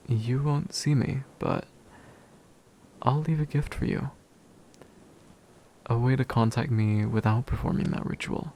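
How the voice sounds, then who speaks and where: A man playing a gentle, slightly bashful demon in a soft, soothing, intimate ASMR comfort roleplay. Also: medium pitch, vocal fry, slight background noise. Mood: warm, caring. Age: young adult. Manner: soothing, hushed, unhurried, tender.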